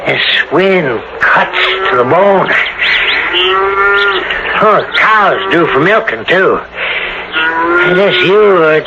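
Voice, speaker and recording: Mean gruff farm uncle, kinda high voice, slow n blunt, muffled noisy old radio. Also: male voice, expressive. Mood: gruff, indifferent. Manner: blunt, clipped, folksy. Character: callous, gruff farmer uncle, unmoved by grief. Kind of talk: 1970s radio drama acting.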